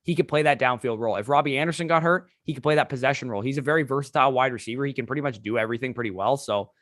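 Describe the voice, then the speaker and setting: Host, male, hoarse from losing his voice, rapid-fire delivery, dry mic. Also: medium pitch, a bit bright, no room sound. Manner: fast, run-on analysis with restarts. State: hoarse, lost voice. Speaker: fantasy football podcast host. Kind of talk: solo fantasy sports recap.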